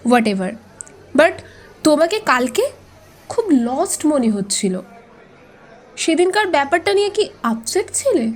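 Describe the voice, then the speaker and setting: Woman doing a stuck-up cool college girl in an audio story, high pitched, really drawn out. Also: big pitch swings, slow pace, pauses often, exaggerated voice. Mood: teasing, aloof. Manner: cool, aloof, drawling with English phrases. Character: confident, haughty, stylish young female college student.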